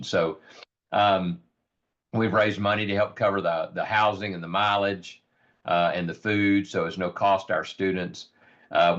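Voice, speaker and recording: Hoarse low-voiced man, muffled audio. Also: fairly bright tone. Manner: earnest, many ums and repeated words. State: raspy, hoarse voice. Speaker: rural health program leader. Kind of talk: informal virtual presentation. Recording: dull lo-fi audio.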